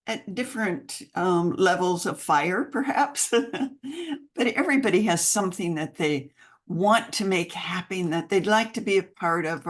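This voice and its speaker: An older American woman, a mother and grandmother, speaking as a guest on a podcast interview in an enthusiastic, expressive way with frequent laughter. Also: on the low side. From United States. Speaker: guest, mentoring program founder.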